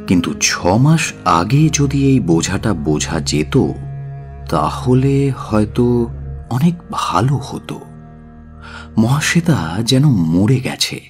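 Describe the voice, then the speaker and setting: A man narrating a dramatic audio story in a measured, solemn and expressive way. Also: low-pitched. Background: faint music.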